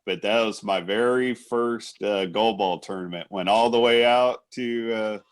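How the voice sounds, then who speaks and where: A man's voice: fairly low pitch, measured pace. A man in his fifties hosting a casual sports interview, effusive and ready to poke fun at himself. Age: 50s. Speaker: show host.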